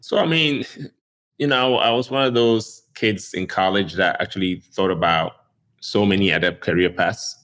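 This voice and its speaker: Deep-voiced Ghanaian-born man, easygoing. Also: moderate pace, fairly bright tone. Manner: chuckles often, fillers like "you know" and "um". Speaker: neurosurgeon guest. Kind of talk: relaxed conversational interview.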